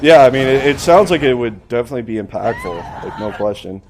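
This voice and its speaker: A man doing live play-by-play as an esports shoutcaster. He is losing his voice and bursts into excited shouting on big plays. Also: medium pitch, fast talker, slight background noise.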